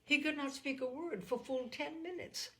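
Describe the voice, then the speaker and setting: A woman voicing a gentle, anxious, forgiving young sister, earnest and measured, in a storybook reading. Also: high-pitched, pitched above the performer's own voice. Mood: anxious, sorrowful.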